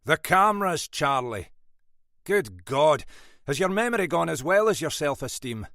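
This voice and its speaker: A man's voice: medium pitch, animated, a little slow, fairly bright tone, no room sound, studio quality, voice pushed up. A man voicing a blunt, scolding older Scottish detective boss in an audiobook. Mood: brisk, exasperated. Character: gruff older Scottish male detective boss, stern but fatherly. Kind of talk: audiobook character dialogue.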